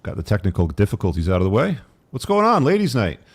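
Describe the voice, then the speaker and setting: A man's voice: on the low side, huge pitch swings, fast, breathy edge. A man hosting a live-stream talk show, casually asking his guests questions. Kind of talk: casual interviewing.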